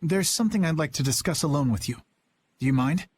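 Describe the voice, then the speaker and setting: A man's voice: a little high, animated, slight background noise, lightly acted. A man playing an earnest young knight captain. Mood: solemn. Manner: measured and reflective, rising to a rousing rally. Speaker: knight commander. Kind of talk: video game voice acting.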